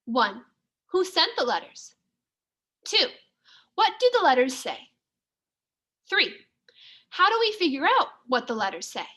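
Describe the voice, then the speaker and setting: Animated, high-voiced woman reading a children's story. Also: measured pace, long pauses. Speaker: second grade teacher reading aloud. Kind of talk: children's story read-aloud.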